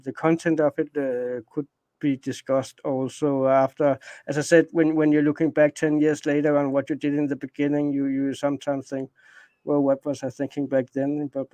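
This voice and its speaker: Interview guest: middle-aged Danish man, Danish accent, fairly high and flat delivery, dry close sound. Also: dry recording. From Denmark. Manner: rambling, many restarts and fillers. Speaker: guest, space anomaly researcher. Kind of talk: casual interview chat.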